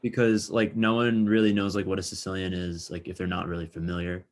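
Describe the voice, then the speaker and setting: A man's voice: low-pitched, flat delivery, brisk. A young man co-hosting a podcast, chatting casually and thinking aloud with frequent fillers and restarts. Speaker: podcast co-host. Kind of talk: casual discussion.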